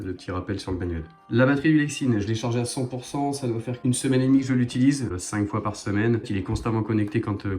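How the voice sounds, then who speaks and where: A man's voice: on the low side, a little mellow. A man talks informally as a motorcycle vlogger, explaining a product step by step in a hands-on review.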